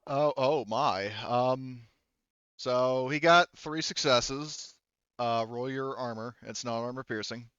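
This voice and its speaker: A man narrating as game master in a casual tabletop roleplaying session, thinking aloud haltingly and swearing mildly. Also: mid-range voice, fairly bright tone, smooth, dry recording, highs cut off.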